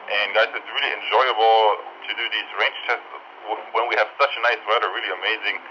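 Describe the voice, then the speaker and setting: A man's voice: medium pitch, muffled recording, slight background noise. A man reviewing products, heard through a walkie-talkie, giving range test commentary and repeating his phrases for the test. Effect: heard through walkie-talkie radio. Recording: band-limited walkie-talkie radio sound, music behind.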